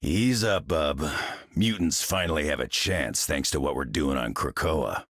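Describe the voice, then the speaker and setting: An actor voicing a gruff, blunt, tough mutant brawler in a video game. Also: male voice, rumbling low, unhurried, a bit bright, no echo, studio quality, exaggerated voice. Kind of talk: video game character voice lines.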